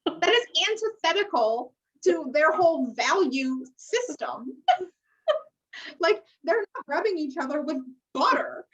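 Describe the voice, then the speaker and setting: A woman's voice: high voice, animated, measured pace, a bit bright. An American woman co-hosting a podcast, chatting casually and candidly with strong opinions and casual swearing. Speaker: podcast co-host. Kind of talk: casual chat recapping a reality TV show. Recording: muffled, distant-sounding microphone.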